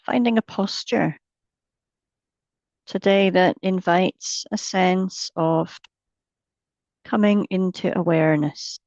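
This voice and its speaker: Slow, low-voiced woman guiding meditation. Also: creaky, no echo, dull audio. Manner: gentle, unhurried, with long pauses between short phrases. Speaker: meditation teacher. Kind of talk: guided meditation.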